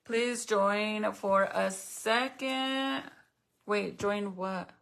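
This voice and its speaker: Chatty, blunt woman with a gravelly voice. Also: medium pitch, fairly even intonation, extremely slow, a bit bright. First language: Spanish. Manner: blunt, profane, chatty, talks to viewers and commenters. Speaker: vlogger. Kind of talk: casual chat while crafting.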